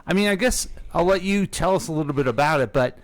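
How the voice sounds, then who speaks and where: A man's voice: on the high side, expressive, fast talker, no room sound. A man hosting a local radio history show in casual, playful chat, leading the questions. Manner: chatty, playful, leads the questions. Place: radio studio. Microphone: studio microphone.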